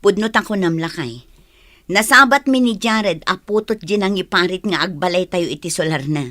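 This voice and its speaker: A woman's voice: fairly low pitch, moderate pace, sharp tone. A woman with an Ilocano accent playing a caring, anxious wife and mother in a radio drama. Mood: conversational.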